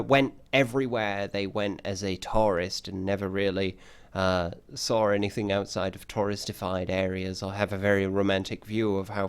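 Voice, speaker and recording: Host: man with a lowish voice, loose, wry, meandering delivery, thoughts left unfinished. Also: clean tone. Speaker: podcast host. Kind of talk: casual podcast discussion.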